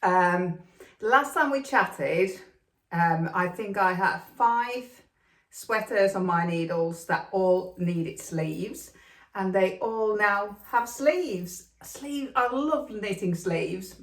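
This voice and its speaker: A woman's voice: mid-range voice, slow pace. Chatty Finnish-born woman, echoey room. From Finland. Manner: chatty, frequent ums, upbeat. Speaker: knitting podcast host. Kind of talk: casual chat to camera. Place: home room with plastic extension, rain outside.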